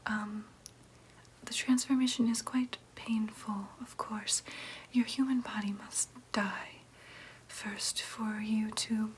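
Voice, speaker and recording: A woman playing a gentle, reassuring vampire nurse in an ASMR roleplay, speaking in a soft, breathy whisper with careful, soothing explanations. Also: mid-range voice, steady tone, takes it slowly, slight background noise, close to an everyday voice. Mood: gentle, frank.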